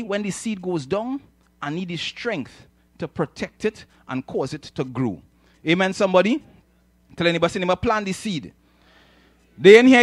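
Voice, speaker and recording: A man's voice: sits high, fairly bright tone, dry recording. A man with a Trinidadian accent preaching emphatically in a sermon style, repeating phrases and prompting his listeners to agree, speaking into a handheld microphone. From Trinidad and Tobago. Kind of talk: animated sermon with audience call-and-response. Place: church hall, speaking from the stage.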